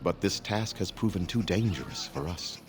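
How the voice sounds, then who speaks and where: An actor playing a grave, weary elven clan leader in a fantasy video game, speaking formally and solemnly in measured phrases. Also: male voice, low voice, lively intonation, slight background noise. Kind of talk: scripted video game dialogue.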